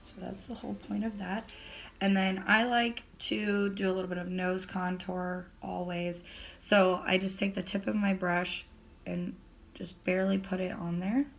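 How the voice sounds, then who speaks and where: Slightly raspy, gravelly young woman. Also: mid-range voice, level tone, moderate pace. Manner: chatty, filler-heavy step-by-step explaining. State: raspy voice recovering from laryngitis. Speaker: beauty vlogger. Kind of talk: casual makeup tutorial.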